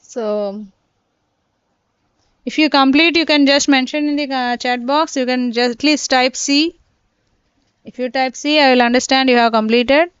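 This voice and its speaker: A woman teaching a live online class, working through each step and often pausing to check that students are following. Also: high voice, clean tone, no room sound. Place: indoors, teaching from a computer. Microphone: laptop mic. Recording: dull lo-fi online class audio.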